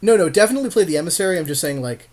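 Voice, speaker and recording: A man's voice: fairly high pitch, animated, rapid-fire, darkish tone. A man co-hosting casual card game commentary, agreeable and slangy with a jokey streak.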